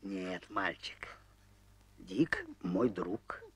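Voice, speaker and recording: An actor playing a gruff, earnest grown man, a loyal dog owner in a cartoon. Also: low-pitched, very slow, fairly bright tone, heavily acted. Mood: proud, earnest. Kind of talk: animated film dialogue.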